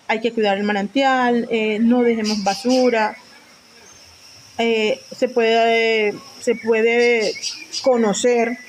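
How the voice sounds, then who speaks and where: A woman speaks in a highish voice over clear outdoor noise. She talks spontaneously as a local interviewee, stressing and repeating her points with rhetorical questions. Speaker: local community leader interviewee.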